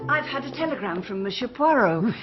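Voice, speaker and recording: A woman's voice: on the high side, expressive, brisk, faint music behind, lightly acted. An actress playing a poised English country-house lady in a radio drama. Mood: composed. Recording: slightly dull radio drama recording.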